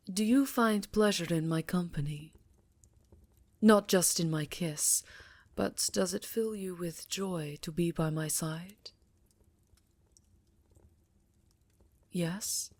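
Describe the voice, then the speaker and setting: A woman playing an elegant, affectionate yet guarded vampire countess in intimate roleplay ASMR, with a formal, theatrical, breathy murmur. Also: fairly low pitch, a little mellow, no echo. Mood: troubled, guarded. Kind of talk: roleplay ASMR acting, intimate address to listener.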